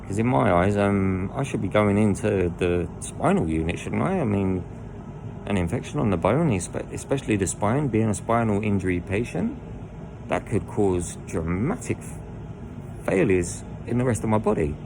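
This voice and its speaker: A man with a croaky voice, telling his own story in a rambling way full of restarts and asides. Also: on the low side, animated, normal speed, background noise. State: croaky voice from lying down. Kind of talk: candid personal storytelling. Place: indoors lying down at home.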